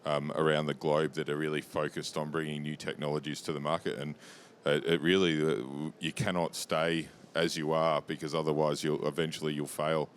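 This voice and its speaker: A man's voice: flat delivery, moderate pace, hardly any pauses. An Australian man answering as a company executive in a business interview, matter-of-fact and explanatory. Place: interview lounge at a trade show. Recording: low rumbling background noise.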